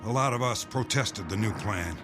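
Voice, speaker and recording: A man playing a grim doomsday insider in an audio drama, speaking in measured, weighty phrases. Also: on the low side, expressive, lightly acted. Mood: grave, bitter. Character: grim male insider revealing a doomsday conspiracy. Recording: music bed under the voice.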